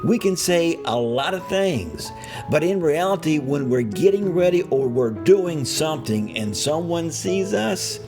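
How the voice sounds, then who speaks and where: A man's voice: a little high, animated, unhurried. An older man from West Virginia with an Appalachian accent, telling a true story casually in a folksy, wry way. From West Virginia, USA. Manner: folksy, wry, chuckling asides. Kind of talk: casual first-person storytelling. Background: music.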